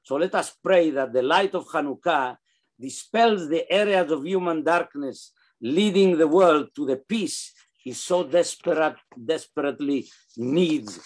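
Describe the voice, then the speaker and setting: An older man gives a formal, read address as a rabbi. His voice is fairly high, and his delivery is solemn and oratorical, captured on a video call. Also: measured pace. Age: 60s or older. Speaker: rabbi, guest speaker. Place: indoors in a home room, on a video call. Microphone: computer mic on a video call.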